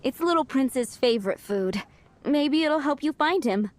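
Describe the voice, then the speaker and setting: A woman's voice: high-pitched, expressive, a little slow. A woman voicing a fretful, doting young cat owner who speaks earnestly and emotionally.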